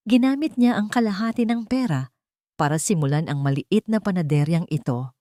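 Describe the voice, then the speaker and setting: A woman with a Filipino accent narrating a scripted dramatic story in an expressive, measured way. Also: on the low side, no room sound, studio quality. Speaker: audiobook narrator.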